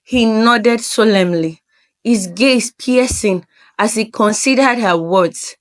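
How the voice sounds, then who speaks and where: A woman's voice: on the high side, slow. A woman narrating a scripted folktale in a solemn, dramatic storyteller's manner.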